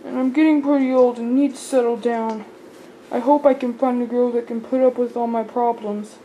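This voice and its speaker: A woman's voice: a little high, fairly even intonation, moderate pace, dark tone, slight background noise, natural voice, low-quality recording. A young woman voicing a nervous, shy male doll in his twenties, talking in an anxious, plaintive way.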